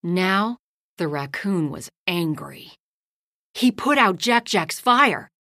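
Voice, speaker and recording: Slow, lively woman reading a bedtime story. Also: fairly low pitch, no room sound, clean studio sound. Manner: slow, lively storytelling, hushed at bedtime lines and loud in action. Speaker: storybook reader. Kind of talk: read-aloud children's bedtime story.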